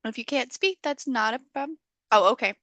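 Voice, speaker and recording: A woman hosting an online community call, friendly and full of okays, slightly hoarse as she loses her voice. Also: a little high, animated, fairly quick, sharp tone, no room sound. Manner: friendly facilitator, many okays. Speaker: meeting host. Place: video call.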